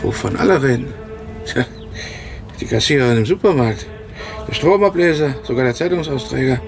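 An actor playing a mild, inquisitive, slightly awkward neighbour in a radio crime drama, speaking politely and haltingly. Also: male voice, medium pitch, lively intonation, creaky, faint music behind. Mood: curious. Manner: hesitant, stammering, polite. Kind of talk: naturalistic German radio crime drama acting.

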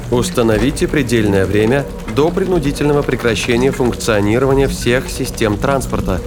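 A man narrating a scripted audio drama in a measured storytelling manner. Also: mid-range voice. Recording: narration over loud music and effects.

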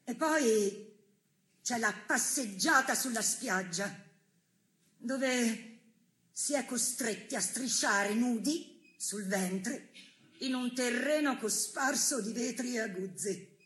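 An actress playing a worn but principled imprisoned woman dissident, deliberate and solemn, in stylized stage theatre. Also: fairly high pitch, lively intonation, fairly bright tone, a little hiss or hum. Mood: grave, haunted.

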